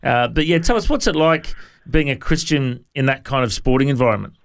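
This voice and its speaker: A man hosting a radio interview, relaxed and friendly, calling his guest mate. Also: medium pitch, a little fast, vocal fry. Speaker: radio host.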